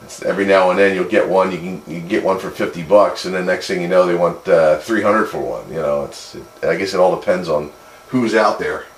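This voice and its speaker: A low-pitched man talks casually and matter-of-factly, with folksy phrasing. His voice comes over a CB radio link with a roomy echo and faint noise behind it. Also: brisk. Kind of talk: casual radio hobbyist chat. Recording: echoey, noisy CB radio transmission.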